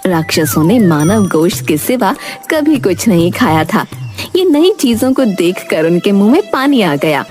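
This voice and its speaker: Lively woman narrating children's stories. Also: medium pitch, measured pace. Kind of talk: scripted children's story narration. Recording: music behind narration.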